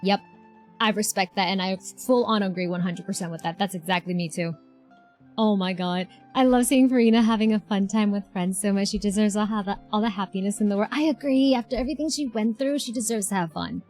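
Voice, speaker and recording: A woman's voice: medium pitch, fairly quick, darkish tone. A woman streaming a game, chatting casually and effusively, repeating herself as she reacts. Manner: effusive, repeats herself, talks back to characters. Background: game music.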